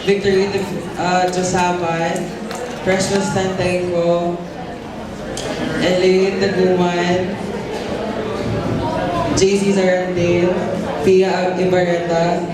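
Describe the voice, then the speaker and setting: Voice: medium pitch, level tone, slow pace, pauses often, a loud crowd. An announcer formally presenting people from the stage in a large hall with an audience. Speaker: announcer introducing cast and crew. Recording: echoey noisy hall, distant mic, audience murmur.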